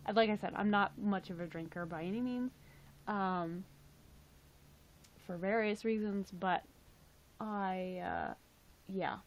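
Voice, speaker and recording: A young woman live streaming, chatting casually in a raspy, tired voice, rambling and swearing now and then. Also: mid-range voice, slow pace, long pauses, muffled recording.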